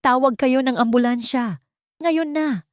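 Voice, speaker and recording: A woman's voice: sits high, a little slow, no echo, studio quality, pitched above the performer's own voice. Woman voicing a commanding, betrayed rich man. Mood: panicked. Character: rich man, cold, betrayed, furious, later panicked father.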